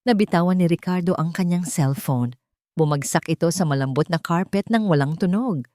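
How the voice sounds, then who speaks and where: A woman storyteller with a Filipino accent narrates a scripted drama. Her voice sits on the low side for a woman. The sound is dry and close, with very little room. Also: moderate pace, mellow, no echo.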